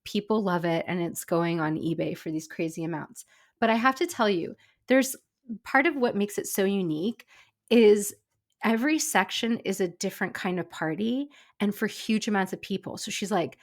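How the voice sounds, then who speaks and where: A middle-aged woman, a former personal chef, co-hosting a casual cooking podcast, chatty and enthusiastic, slightly stuffy from a cold. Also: medium pitch. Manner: chatty, enthusiastic, quick asides. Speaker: podcast co-host. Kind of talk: casual chat.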